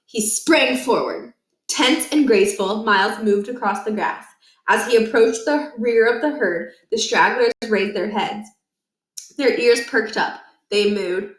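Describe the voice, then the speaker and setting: A woman's voice: fairly high pitch, a little slow. Woman reading aloud in an echoey room. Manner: expressive, dramatizes the story. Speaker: storybook reader. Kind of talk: children's read-aloud narration. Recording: roomy, echoing indoor recording.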